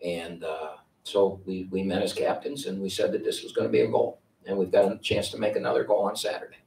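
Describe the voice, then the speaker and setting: A blunt older coach with a very low voice rambles through his answers, restarting and repeating words. He sits at a table mic some distance away in an echoey press room. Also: fairly even intonation, a little fast, creaky, darkish tone. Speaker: head basketball coach. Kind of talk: post-game press conference answers. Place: press conference room with sponsor backdrop. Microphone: table mic, heard from a distance.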